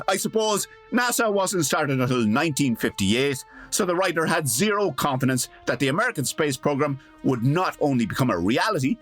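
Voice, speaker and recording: A pompous, wry radio show announcer with a high voice that swoops dramatically. He narrates theatrically in an old-time radio comedy, slipping in tongue-in-cheek asides over music. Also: fairly bright tone, heavily acted.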